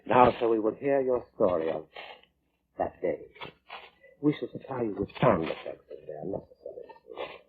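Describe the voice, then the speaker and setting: An actor playing a coolly polite, insistent coroner in a 1940s radio drama, speaking formally with sinister, needling courtesy. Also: male voice, on the low side, very animated, moderate pace. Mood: mock courteous. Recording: narrow, tinny, noisy old radio broadcast.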